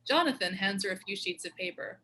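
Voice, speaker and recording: A woman narrates a script's stage directions. Her voice is medium in pitch and bright, giving scene headings and actions as scripted narration. There is faint noise in the recording. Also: lively intonation, a little fast.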